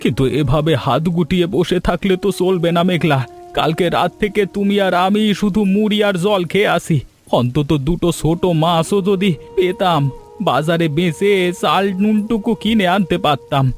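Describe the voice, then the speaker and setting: A man plays a worried young village fisherman in a cartoon, his voice high and pleading, rough rustic speech, soft music playing behind him. He keeps asking for help with desperate earnestness. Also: moderate pace, natural voice. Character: poor anxious young male village fisherman. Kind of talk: cartoon voice acting.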